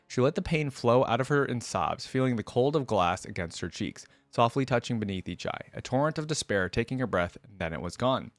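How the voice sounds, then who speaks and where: A man hosting a reaction video, reading a fantasy story aloud in an expressive style with casual asides. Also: mid-range voice, fairly quick, few pauses, no room sound.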